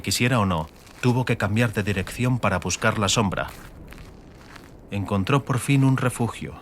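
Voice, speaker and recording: A man reading scripted dramatic audiobook narration in a measured, literary manner. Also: on the low side, fairly bright tone. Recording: faint ambient noise behind.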